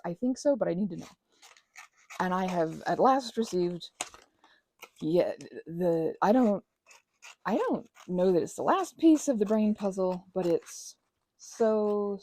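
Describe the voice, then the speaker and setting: A woman's voice: fairly low pitch, vocal fry, warm tone, slight background noise. A woman in her thirties rambling casually and digressively, with a sarcastic, self-deprecating streak. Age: 30s. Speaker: crafting vlogger and ASMR creator.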